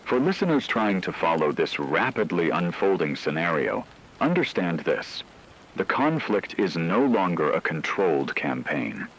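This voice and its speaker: A man voicing a grave radio war correspondent, reading a scripted news report in a formal, measured way. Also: low-pitched, sing-song, fairly bright tone, husky, exaggerated voice, poor audio.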